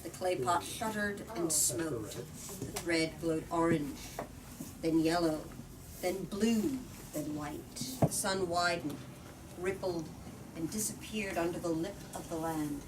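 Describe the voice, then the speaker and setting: A woman reading a storybook aloud in an expressive, deliberate way, as the host of a book discussion. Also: lowish voice. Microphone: far from the speaker. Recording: distant mic, noisy room.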